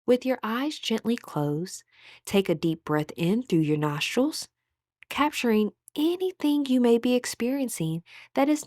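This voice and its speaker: Female meditation guide, fairly high voice, calm and soothing, measured phrasing, close dry recording. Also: no echo.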